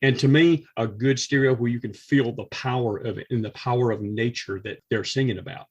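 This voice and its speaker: Husky male drummer, enthusiastic podcast guest. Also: medium pitch, fairly quick, lo-fi sound. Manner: emphatic, enthusiastic. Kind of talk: enthusiastic casual music talk.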